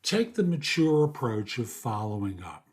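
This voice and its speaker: An older man, a podcast host and career coach, gives plain-spoken advice in a raspy, hoarse voice. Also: mid-range voice, normal speed. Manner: plain-spoken, steady, practical. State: hoarse after an illness. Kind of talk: casual advice monologue.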